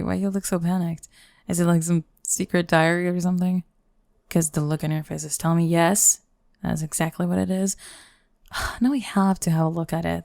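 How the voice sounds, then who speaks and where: A woman's voice: on the low side, warm tone, dry recording. A young woman playing a sardonic goth bully girl in an intimate ASMR roleplay, grumbling and teasing the listener up close. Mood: teasing curiosity. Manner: teasing, grumbling, sighing, dropping into breathy murmurs. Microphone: close microphone, dry.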